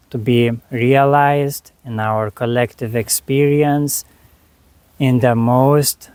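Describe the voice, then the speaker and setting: A man leading a guided meditation, speaking to the listener in short, unhurried phrases with long pauses between them. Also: mid-range voice, darkish tone, no echo.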